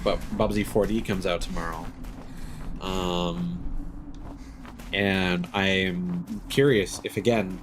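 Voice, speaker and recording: A man with a fairly low voice streams a video game and talks casually to his viewers. He rambles, thinking out loud as he plays. There is clearly audible noise and ambience behind him.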